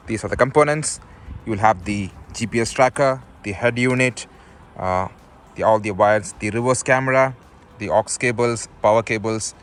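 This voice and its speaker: A man presenting a DIY video, casually talking through a product and its parts. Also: lowish voice. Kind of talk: casual product unboxing commentary. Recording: noisy, with outdoor vehicle ambience.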